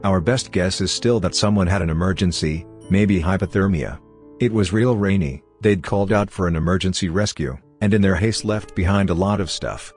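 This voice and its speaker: A man with a very deep voice narrates horror stories in a steady, measured way. Soft music plays faintly underneath him.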